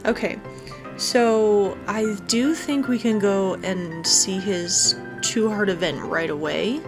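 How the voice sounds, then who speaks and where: A woman hosts a gaming video in a casual, chatty let's play style. Her voice has a noticeable creak and fry at an everyday female pitch, with music clearly playing behind her. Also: takes it slowly.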